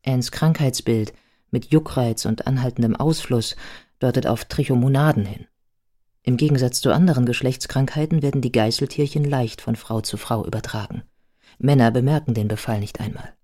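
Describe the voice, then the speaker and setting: A man's voice: medium pitch, normal speed, dark tone. A man narrating scripted biography in a radio play in a matter-of-fact manner.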